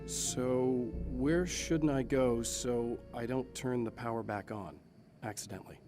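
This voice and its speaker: An actor playing a calm, wry, guarded hero in a video game, understated and dry. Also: male voice, mid-range voice, a little slow, music in the background, close to an everyday voice. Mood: wry, evasive.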